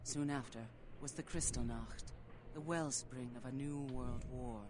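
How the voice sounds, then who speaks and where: A woman playing a secretive ancient vampire scholar in a video game, speaking in a solemn, formal, measured way. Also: very deep voice, steady tone, noisy background. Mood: ominous warning. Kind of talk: video game dialogue acting.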